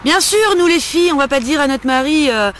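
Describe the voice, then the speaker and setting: A woman's voice: sits high, fairly quick, fairly bright tone, slight background noise. A woman hosting a casual step-by-step tutorial in a chatty, playful and informal way. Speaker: host of a homemade beauty-care tutorial. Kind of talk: casual spoken step-by-step demonstration.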